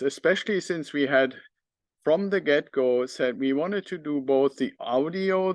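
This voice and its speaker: A man's voice: mid-range voice, no echo. German-accented man hosting a podcast, close dry sound. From northern Germany. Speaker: podcast host. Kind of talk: unscripted talk to the audience.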